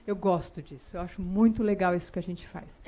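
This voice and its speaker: A woman speaking on a conference panel in a large auditorium, expressive and emphatic as she answers questions. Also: on the low side, a little fast, slight background noise. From Brazil. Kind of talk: enthusiastic panel talk.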